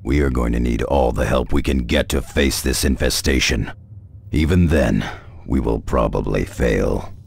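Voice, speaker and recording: An actor voicing a grim, stern veteran space marine scout in a video game, with a deep gravelly voice and a blunt, measured delivery. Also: male voice, exaggerated voice. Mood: grim, fatalistic. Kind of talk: video game cutscene acting.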